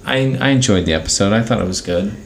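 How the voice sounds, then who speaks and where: Man, podcast co-host, average pitch, plenty of vocal fry, casual chat, background noise. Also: fast talker.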